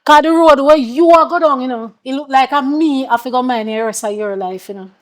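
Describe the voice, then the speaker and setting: Woman playing a stern Jamaican mother, high-pitched voice. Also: fairly quick, natural voice. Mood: exasperated, scolding. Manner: confrontational, scolding, rhetorical questioning. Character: stern, exasperated mother scolding her grown son.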